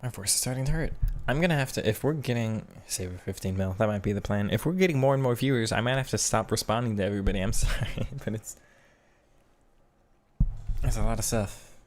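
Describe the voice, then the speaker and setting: Male streamer, lower register, loose and chatty, talks through tactics off the cuff. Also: a little fast. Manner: chatty, thinks aloud with frequent restarts. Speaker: streamer and game coach. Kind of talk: casual live-stream commentary reading chat.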